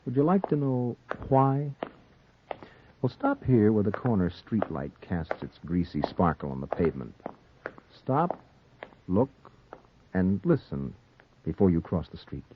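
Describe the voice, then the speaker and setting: Sinister noir narrator: low, swooping, ominous delivery, taunting his victim on a tinny old radio recording. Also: sing-song, a little slow, pauses often, a little hiss or hum. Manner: theatrical, ominous, insistent second-person address. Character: sinister, taunting male narrator addressing a doomed man. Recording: muffled, tinny 1940s radio recording, music behind.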